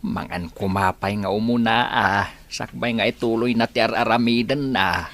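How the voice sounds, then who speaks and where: A man's voice: lowish voice, moderate pace, fairly bright tone. A man with an Ilocano accent playing a considerate father of grown children in an audio drama. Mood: caring.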